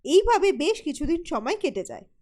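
A woman's voice: sits high, sing-song, brisk, a little mellow. A woman narrating a scripted cartoon story.

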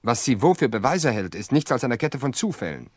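An actor in a radio play voicing an insistent widower, probing and pressing for the truth. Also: male voice, mid-range voice, very animated, a little fast, slightly rough, no echo. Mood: insistent, accusing. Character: insistent grieving widower pressing his sister-in-law for the truth. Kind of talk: dramatic radio play acting.

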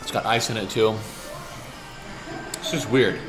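A man's voice: on the low side, steady tone, measured pace, music in the background, low-quality recording. A middle-aged man chatting casually and playfully as a vlog host, talking to a camera held at arm's length. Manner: playful, joking. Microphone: action camera at arm's length.

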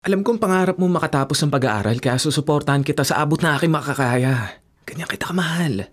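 A man's voice: on the high side, expressive, quick pace. A Filipino actor in his twenties playing a devoted, sweet-talking boyfriend in a radio drama. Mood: tender, devoted. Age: 20s. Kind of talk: Tagalog radio drama acting. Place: small padded radio studio. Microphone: studio microphone on boom arm.

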